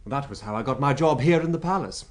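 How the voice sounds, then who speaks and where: Actor as animated, eloquent British royal secretary. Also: male voice, mid-range voice, fast, lightly acted. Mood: amused, emphatic. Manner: rhetorical, expansive, emphatic. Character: talkative, wryly amused royal private secretary. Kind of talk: BBC radio drama acting.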